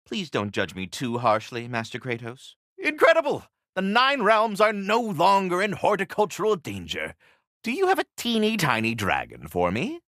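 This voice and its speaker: A man's voice: mid-range voice, fairly bright tone, no echo, clean studio sound. A man voicing a polite, erudite giant squirrel, keeper of a world tree, in a video game, with pompous, florid diction. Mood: apologetic, polite, then relieved, eager. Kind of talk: scripted video game character dialogue.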